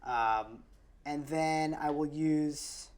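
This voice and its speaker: A woman's voice: sits low, very slow, a little mellow, a little hiss or hum. A woman playing a tabletop game, talking casually and playfully as she thinks aloud. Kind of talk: casual improvised game talk.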